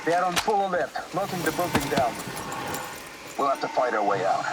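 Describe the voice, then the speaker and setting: An urgent male comrade in a war game, high-pitched and swooping. He sounds far from the mic, with loud battle noise around him. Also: sing-song, a little fast. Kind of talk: acted combat game dialogue. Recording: distant voice under loud gunfire noise.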